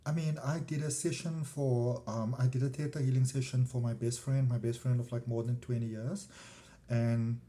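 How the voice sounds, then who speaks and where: Mellow-voiced middle-aged South African man. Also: mid-range voice, steady tone, moderate pace. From South Africa, countryside. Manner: discursive, trailing off into asides and repeated fillers. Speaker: spiritual vlogger and energy healer. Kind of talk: casual rambling personal talk to camera.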